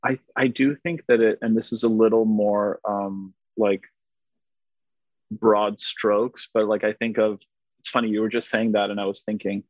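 A man's voice: lowish voice, level tone, moderate pace, dry recording. A man whose first language is Polish, talking earnestly in a casual interview, rambling with many fillers and restarts. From United States. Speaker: guest. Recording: dull lo-fi sound.

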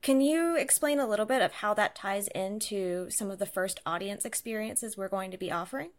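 Mid-pitched woman asking interview questions. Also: brisk. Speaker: podcast co-host asking questions. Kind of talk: conversational interview.

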